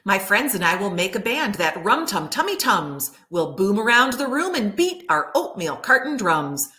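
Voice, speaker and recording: A middle-aged woman reads a children's picture book aloud like a teacher, animated and clearly enunciated for young listeners. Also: medium pitch, normal speed. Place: room at home by a window, indoors.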